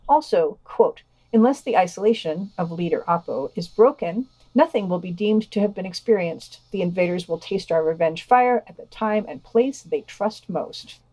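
A woman's voice: lowish voice, warm tone, no echo, low-quality recording. A woman co-hosting a podcast, reading scripted news and quotations with dry, understated commentary.

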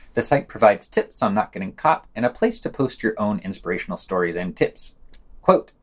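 A man reading scripted news items for a podcast, with sardonic, wry asides. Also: medium pitch.

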